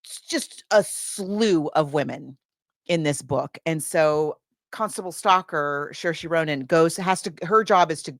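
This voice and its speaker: A woman podcast host with a slightly low voice, recorded close and dry. She talks casually, rambling through a retelling as if chatting with a friend. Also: measured pace, dry recording. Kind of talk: casual chat recounting a film plot.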